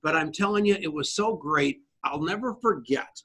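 A male podcast host opens the show with a gushing, self-deprecating story. His voice is bright and slightly husky, pitched on the high side for a man. He talks loosely and keeps the energy up. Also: moderate pace. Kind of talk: casual show introduction.

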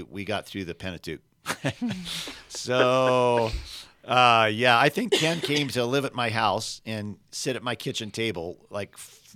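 Man with a scratchy, gravelly voice. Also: fairly low pitch, sharp tone. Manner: rambling, self-correcting, friendly. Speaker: podcast host. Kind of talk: conversational podcast hosting.

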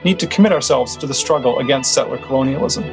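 A man hosting a podcast, asking a guest questions in a polite, slightly halting way. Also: mid-range voice, vocal fry, over music. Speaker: podcast host.

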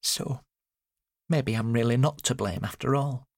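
A man's voice: medium pitch, unhurried, gravelly, no room sound, clean studio sound, pitched above the performer's own voice. A man voicing a fragile, sad older mother with a plaintive, self-blaming manner in an audiobook. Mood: ashamed. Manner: plaintive, self-blaming, abruptly changing subject. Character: Eileen, fragile older mother, sad, agitated, wandering mind. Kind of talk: audiobook character dialogue.

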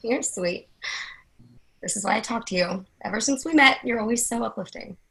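Actress as friendly young woman, creaky bright voice. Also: a little high, moderate pace, rough recording. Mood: friendly, earnest. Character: oblivious, friendly young woman, the love interest. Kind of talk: play reading, conversational acting.

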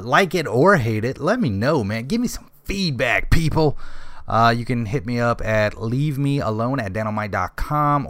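A man's voice: medium pitch, a bit bright, clear, resonant tone, no room sound. A man hosting a casual podcast in a loose, rambling way, laughing easily with his co-host.

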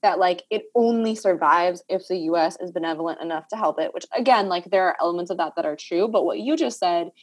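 A woman's voice: on the low side, fast, hardly any pauses. A woman hosting a casual talk, reflective and thinking aloud with many "like" fillers. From Puerto Rico. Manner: reflective, heavy use of "like" fillers. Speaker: podcast host. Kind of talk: casual conversational discussion.

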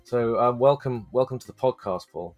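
A man hosting a podcast interview, his voice hoarse from hay fever, talking casually with many ums and restarts. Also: medium pitch, a little mellow, lo-fi sound, soft background music. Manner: halting, many fillers. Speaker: podcast host.

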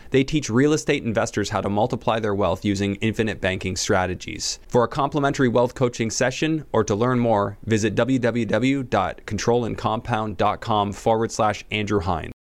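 A man's voice: fairly low pitch, fairly quick, hardly any pauses, no room sound. A man hosting a podcast in a casual, conversational style. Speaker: podcast host.

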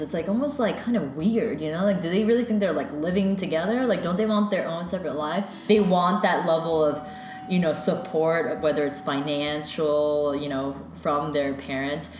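Chatty young American woman, low-pitched, noisy echoey room. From United States. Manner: chatty, filler-heavy, animated when quoting parents. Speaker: interviewee. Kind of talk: casual conversation. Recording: echoey room with background noise.

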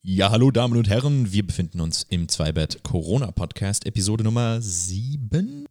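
Deep-voiced man on a podcast. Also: big pitch swings, normal speed, darkish tone, soft background music. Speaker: podcast co-host. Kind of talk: casual podcast chat.

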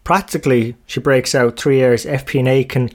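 Man hosting a podcast, mid-pitched, upbeat and conversational. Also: steady tone, a little fast. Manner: enthusiastic, conversational. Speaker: podcast host. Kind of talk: podcast intro and interviewing.

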